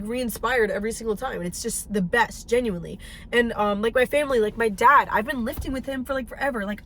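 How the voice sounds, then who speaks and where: Young woman vlogging from her car, fairly high voice, punchy and blunt, faint road hum. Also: a bit bright, low-quality recording. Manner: chatty, emphatic, blunt and swears. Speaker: fitness vlogger. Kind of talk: casual vlog chat while driving. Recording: faint car noise behind.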